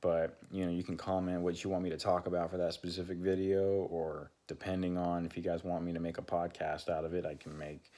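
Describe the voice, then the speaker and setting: Deep-voiced young man, rambling and relaxed. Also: brisk, hardly any pauses. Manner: rambling, many fillers and restarts. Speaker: channel co-host. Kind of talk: casual talk to camera.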